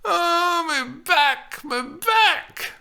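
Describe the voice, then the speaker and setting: A woman's voice: very high-pitched, animated, gravelly, bright, forceful tone, voice pushed up, full character voice. A man doing a groaning Lancashire old nurse. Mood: teasing complaint. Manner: moaning, drawn-out, theatrically complaining. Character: older woman acting as a teasing, groaning old nurse.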